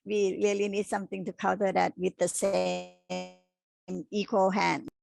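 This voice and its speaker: A woman speaking unscripted on a panel, halting and rephrasing as she goes. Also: mid-range voice, little pitch movement, creaky, a little mellow, soft background music. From Thailand. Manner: halting, repeats and rephrases words. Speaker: activist panelist.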